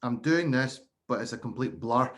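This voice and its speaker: A man hosting a podcast, with a mid-pitched voice. He puts his questions quickly and conversationally, searching for his guest's meaning. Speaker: podcast host. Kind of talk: conversational interviewing.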